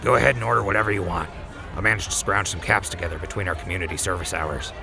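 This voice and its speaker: A man's voice: sits low, fairly even intonation, rapid-fire, creaky, music in the background, a crowd in the background. A man voicing an earnest, remorseful young man who pleads and apologizes in an audio drama. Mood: apologetic.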